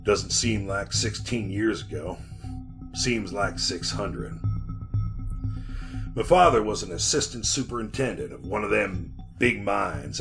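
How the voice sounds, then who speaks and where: An actor playing a folksy mine guide with a dark tale, in the style of 1940s radio drama. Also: male voice, sits low. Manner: folksy, colloquial storytelling. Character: mine guide recalling a dreadful past, brooding and cocky.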